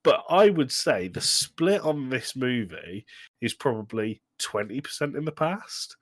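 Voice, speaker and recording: A man with a medium-pitched voice co-hosts a casual film discussion. He speaks emphatically, with an exasperated, critical edge. The sound is very dry, with no room around it. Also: lively intonation, a little slow, no room sound. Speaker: podcast co-host. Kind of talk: casual film review chat.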